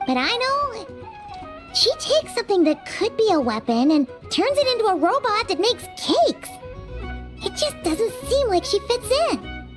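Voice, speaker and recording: A tiny floating fairy girl chirps in a very high, bouncy voice that leaps all over the scale. She is excitable and chatty, and cheerful music plays clearly behind her in this game scene. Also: measured pace, exaggerated voice. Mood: musing. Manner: bubbly, exclamatory, refers to herself in third person. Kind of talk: video game voice acting.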